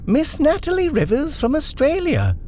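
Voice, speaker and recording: A man voicing a bustling, fussy middle-aged female housekeeper who talks in a hurried, insistent flutter. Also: very high-pitched, wildly swooping pitch, darkish tone, a little hiss or hum, pitched above the performer's own voice, exaggerated voice. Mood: announcing.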